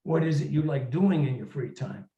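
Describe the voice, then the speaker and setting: A man's voice: fairly high pitch, expressive, normal speed, close to an everyday voice. A man voicing a reserved male psychiatrist who asks short, formal, noncommittal questions in a story reading. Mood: probing, neutral. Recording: roomy, slightly dull room recording.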